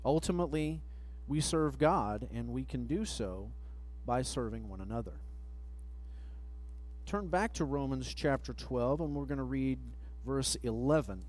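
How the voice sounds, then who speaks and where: A middle-aged man preaching a sermon at a church pulpit mic, reading scripture slowly and letting phrase ends trail off. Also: medium pitch, lively intonation, darkish tone, rough recording. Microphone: pulpit microphone, far camera.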